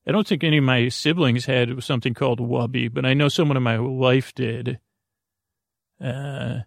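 A man hosting a bedtime podcast, improvising a meandering, digressive monologue in a creaky voice, with halting stammers and repeated words. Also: mid-range voice, no room sound, clean studio sound. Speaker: podcast host.

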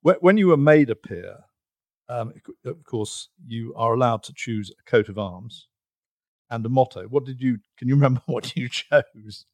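A man hosting an interview, talking in a halting, conversational way with pauses and restarts. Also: mid-range voice, lively intonation, darkish tone, no room sound. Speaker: interview host.

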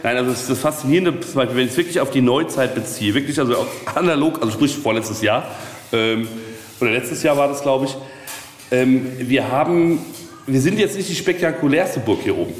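A man's voice: mid-range voice, lively intonation, fairly quick. A middle-aged man explaining casually as a castle guide in an interview, in a rambling, circling way. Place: reverberant space at a castle. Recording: echoey hall, faint ambient noise.

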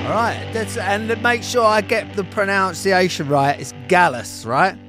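A man's voice: high voice, measured pace, loud music behind the voice. A man hosting a podcast in a jokey, matey and enthusiastic way, with casual swearing. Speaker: podcast host. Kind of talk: upbeat podcast presenting and casual chat.

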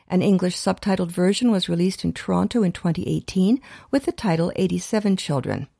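A woman's voice: medium pitch, mellow, dry recording, studio quality. A woman hosting a radio show, reading a scripted introduction and then interviewing a guest. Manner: reads intro clearly, then chatty with small laughs.

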